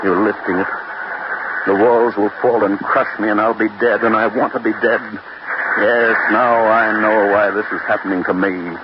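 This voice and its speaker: An actor playing a haunted, despairing, doomed man in an old radio horror drama, with a brooding, theatrical, drawn-out delivery. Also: on the low side, fairly even intonation, natural voice. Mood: anguished. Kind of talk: 1930s-40s radio horror acting. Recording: noisy, muffled old radio broadcast recording.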